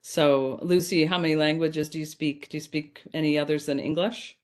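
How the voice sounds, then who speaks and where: A woman's voice: deep voice, steady tone, normal speed, a little mellow. A woman presenting her research in a conversational academic lecture, speaking informally and addressing audience members directly.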